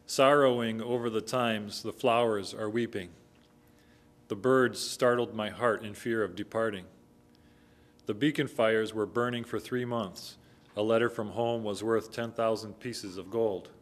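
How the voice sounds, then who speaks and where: A middle-aged man reads poetry aloud from paper in a measured, solemn delivery, at a stand microphone indoors. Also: fairly low pitch, fairly even intonation. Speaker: poetry reader. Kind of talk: poetry recitation read from paper. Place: indoor hall with brick walls, before an audience.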